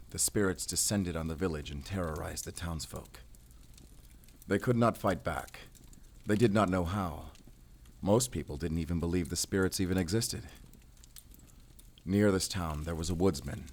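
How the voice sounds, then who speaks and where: A man playing a brooding, world-weary werewolf hunter in an audio roleplay, speaking in a measured, solemn, introspective way. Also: low voice, a little mellow. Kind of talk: cinematic audio roleplay acting.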